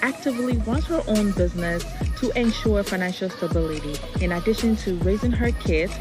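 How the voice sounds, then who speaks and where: A woman from Ghana giving a measured, earnest testimonial as a mother and business owner. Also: medium pitch. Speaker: health services worker and business owner. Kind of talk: promotional testimonial. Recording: loud music behind.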